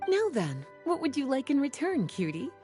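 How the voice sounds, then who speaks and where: Casting: coy, languid female librarian, anime game, mellow tone, lively intonation. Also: high voice, normal speed, soft background music. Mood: teasing. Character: sultry, teasing, worldly adult female librarian.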